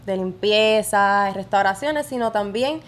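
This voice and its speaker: A woman with a Puerto Rican accent, a dental assistant volunteer, explaining in a measured conversational way. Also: medium pitch. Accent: Puerto Rican Spanish. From Puerto Rico. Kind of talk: conversational interview answers.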